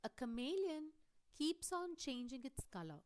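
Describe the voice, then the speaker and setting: A woman English teacher reading a play aloud for students in a clear, expressive way. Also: sits high, unhurried. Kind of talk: read-aloud lesson for students.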